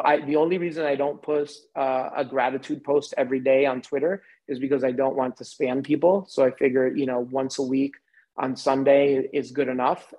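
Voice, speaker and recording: A man's voice: medium pitch, dark tone, rough recording. An American man in his forties, a guest in a casual podcast conversation, rambling with many fillers and restarts. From United States. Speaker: interview guest.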